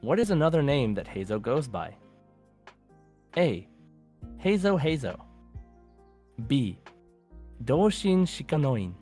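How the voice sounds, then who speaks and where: A man's voice: mid-range voice, sing-song, slow, long pauses, a little mellow. Male quiz podcast host over music. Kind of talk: casual hosting of a quiz show.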